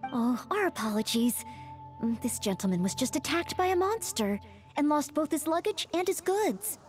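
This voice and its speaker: Game role: polite young maid, high animated voice, formal and gentle, faint music behind. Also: female voice, moderate pace. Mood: courteous, eager. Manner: formal, courteous, gentle, dutiful. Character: polite, earnest young maid devoted to serving guests. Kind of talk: scripted video game voice acting.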